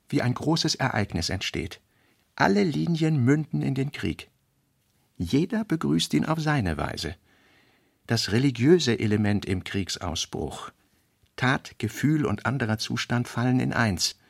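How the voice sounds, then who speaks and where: Guy narrating radio drama, bit of fry in his voice, dry close sound, clipped and deliberate. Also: medium pitch, dry recording. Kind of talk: literary radio drama narration, reading fragmentary notes.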